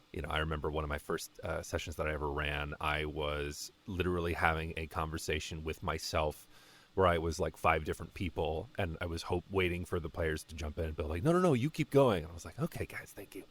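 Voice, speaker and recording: A man answering as a guest voice actor in a casual interview, halting and often correcting himself. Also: sits low, fairly bright tone, dry recording.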